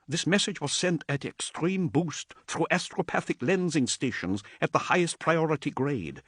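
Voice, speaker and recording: A man's voice: on the high side, expressive, moderate pace, a bit bright, slightly rough, no echo, clean studio sound, pitched above the performer's own voice. A man voicing a nervous, overeager male messenger in an audiobook.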